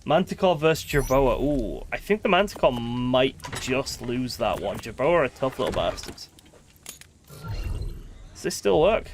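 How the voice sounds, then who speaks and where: Lively, expressive man rambling over a game. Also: mid-range voice, measured pace, slight background noise. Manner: rambling, chatty, thinking aloud. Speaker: game streamer. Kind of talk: casual live gameplay commentary.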